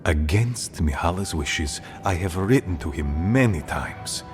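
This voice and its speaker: An actor playing an aging dwarf, a weary, pragmatic conspirator speaking gravely and earnestly in a fantasy game. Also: male voice, low-pitched, full character voice. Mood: measured, persuasive. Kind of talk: dramatic game dialogue.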